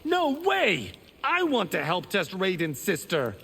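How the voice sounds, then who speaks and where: An actor playing a cocky, boastful young martial-arts warrior in a video game. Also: male voice, sits high, huge pitch swings, slow pace, gravelly, fairly bright tone, in a large hall, a little hiss or hum, heavily acted. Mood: indignant.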